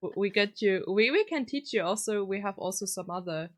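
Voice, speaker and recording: A woman from Germany speaks English with a German accent at a medium pitch. She talks casually and informally, as the host of a stream. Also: animated, a little fast. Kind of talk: casual chat.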